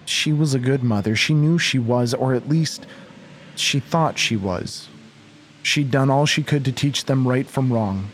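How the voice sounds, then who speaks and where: Slow, measured male horror narrator. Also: medium pitch, a little hiss or hum. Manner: measured, literary storytelling. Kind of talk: scripted horror audio drama narration.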